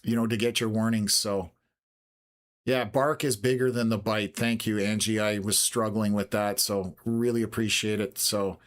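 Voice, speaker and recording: A man's voice: lowish voice, little pitch movement. Easygoing male weather livestream host. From Canada. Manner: unhurried, plain-spoken, many filler words. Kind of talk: casual livestream chat answering viewer questions.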